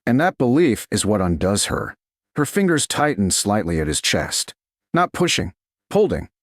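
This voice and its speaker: A man narrating a scripted romance audiobook in short, deliberate, dramatic phrases. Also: fairly low pitch, big pitch swings, no room sound, studio quality.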